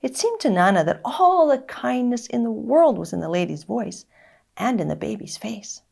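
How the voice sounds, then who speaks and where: A woman reading a children's story aloud in an expressive, dramatic narrating style. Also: fairly high pitch, moderate pace, gravelly, slightly rough. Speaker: story reader.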